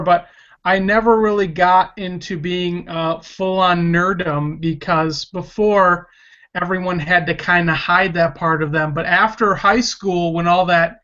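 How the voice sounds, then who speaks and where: A man's voice: high-pitched, sharp tone. Eager thirty-something man, lo-fi sound. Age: 30s. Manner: enthusiastic, rambling with restarts. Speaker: podcast host. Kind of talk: casual podcast interview. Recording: dull lo-fi sound.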